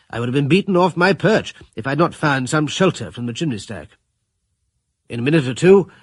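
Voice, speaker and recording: A middle-aged british officer type telling his adventure, husky, slightly high for a man, dry studio. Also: dry recording. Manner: grave, measured, literary storytelling. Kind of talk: first-person radio drama narration.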